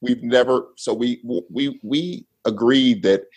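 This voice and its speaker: A man's voice: medium pitch, slow, darkish tone, husky. A man telling a long personal story in a casual podcast chat, laid-back and rambling with restarts. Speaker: guest storyteller.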